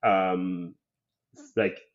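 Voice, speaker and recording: A man from Haiti, a filmmaker guest, telling his life story in a thoughtful, soft-spoken way with many pauses and "um"s. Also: low-pitched, level tone, narrow, tinny audio. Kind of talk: interview storytelling.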